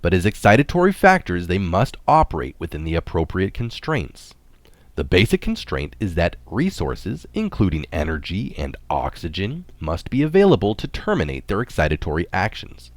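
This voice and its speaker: A man with a low voice reads a health article aloud, recorded close and dry. His delivery is measured and explanatory, with plenty of movement in the pitch. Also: wildly swooping pitch, no room sound. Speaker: article reader adding his own notes.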